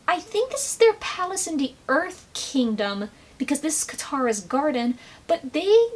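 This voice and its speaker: A woman giving a casual, animated commentary recap with sarcastic asides. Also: high voice. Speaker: video commentator.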